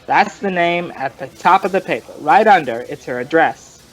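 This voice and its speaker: An actor playing a folksy, rambling male informant who tells his story in colloquial, drawn-out fashion, in old-time radio crime drama style. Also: fairly high pitch, lively intonation, low-quality recording.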